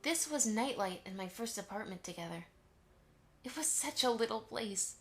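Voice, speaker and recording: Lady doing a sweet motherly cartoon mare, highish voice, nostalgic storytelling, music underneath. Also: animated, moderate pace. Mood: fond, nostalgic. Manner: affectionate, storytelling to a child. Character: Velvet, gentle motherly pony mare, fondly nostalgic. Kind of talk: amateur audio drama acting.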